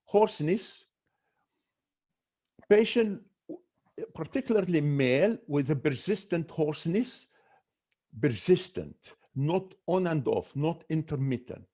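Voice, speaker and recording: A man's voice: fairly high pitch, lively intonation, slow, pauses often, warm tone. A man, an ENT doctor, giving a medical webinar lecture, listing symptoms one by one with careful restarts.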